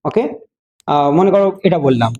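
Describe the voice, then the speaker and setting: A man's voice: fairly high pitch, animated, racing, dark tone, highs cut off, a little hiss or hum. A man with a Bengali Indian English accent lecturing a class as a speaking teacher, emphatic, with rhetorical questions and repetition, switching between two languages.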